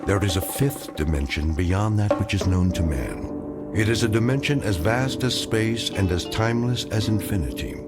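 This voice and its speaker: A man narrating a scripted drama anthology in a solemn, measured, wry storytelling manner. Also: fairly low pitch. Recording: music behind.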